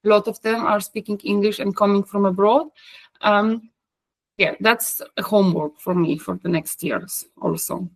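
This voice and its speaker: A woman with a Polish accent talks through her plans in a group coaching call, hesitating, restarting and filling pauses as she searches for words in her third language. Her voice sits in a middle pitch, and the sound is close and dry, with no room echo. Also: fairly even intonation. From Poland. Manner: hesitant, many fillers and restarts. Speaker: coaching course participant. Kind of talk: group video call discussion.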